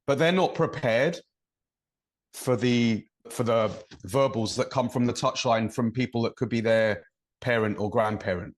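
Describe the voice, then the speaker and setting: A man's voice: medium pitch, measured pace. English man explaining things thoughtfully. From England. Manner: thoughtful, explains at length with examples. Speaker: referee and podcast co-host. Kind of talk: podcast conversation.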